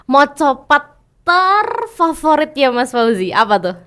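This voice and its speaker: A woman's voice: high-pitched, very animated, a little slow, a bit bright. A young woman hosting a casual talk show, chatty and upbeat, eagerly prompting her guest. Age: young adult. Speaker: host.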